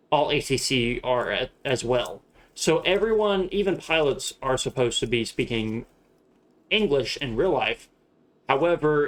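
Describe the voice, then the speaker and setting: A man's voice: medium pitch, fairly bright tone. A young man with a Southern US accent chatting casually on a live stream, rambling, laughing often and swearing freely. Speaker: streamer flying a shared-cockpit sim flight.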